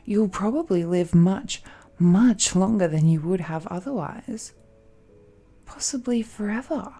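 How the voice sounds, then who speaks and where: A woman performs a smug, seductive immortal villainess holding someone captive in a romantic audio drama. She speaks slowly and languidly in a soft-edged, mellow tone, coaxing and condescending, with faint music behind her. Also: fairly low pitch. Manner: languid, coaxing, condescending, punctuated with hums and sighs. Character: possessive, seductive ancient supernatural villainess, smugly patient captor. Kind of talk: romantic villain audio roleplay, intimate monologue.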